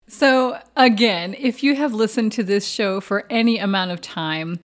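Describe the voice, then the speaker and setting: A woman from the Chicago area with a Midwest accent touched by a Texas drawl, talking fast, slurring words and laughing often in casual tabletop podcast banter. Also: mid-range voice. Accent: Chicago-area Midwest with a hint of Texas drawl. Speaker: podcast co-host and tabletop player. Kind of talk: casual blooper-reel banter.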